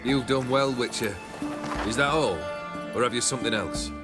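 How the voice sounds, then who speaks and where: A man's voice: mid-range voice, moderate pace, loud music behind the voice, close to an everyday voice. An actor playing a haughty, skeptical nobleman in a video game, mocking and imperious. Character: haughty, sardonic cursed nobleman, male, skeptical.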